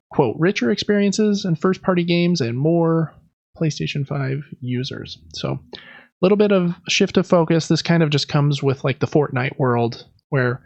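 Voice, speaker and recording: A man's voice: on the high side, gravelly, a little mellow, dry recording, lo-fi sound. A man hosting a podcast, giving casual, conversational news commentary with informal, slangy asides. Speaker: podcast host.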